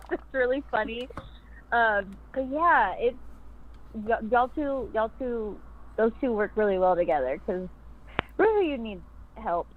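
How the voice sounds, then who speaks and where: Brief: woman, somewhat high voice, giggly animated talker, dull lo-fi line. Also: measured pace, long pauses. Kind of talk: casual chat. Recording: muffled lo-fi audio.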